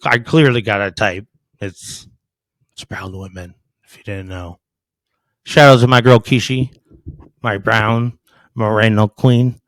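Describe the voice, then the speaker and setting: A man's voice: fairly low pitch, no room sound. A man in his thirties on a casual podcast, talking bluntly and cursing freely. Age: 30s. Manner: blunt, profane and animated. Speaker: podcast guest.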